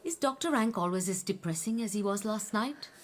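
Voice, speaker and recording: A woman's voice: mid-range voice, lively intonation, brisk, a little hiss or hum, natural voice. A woman playing a sensible, more worldly friend in a radio drama, speaking in a frank, questioning, admonishing way. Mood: conversational, curious.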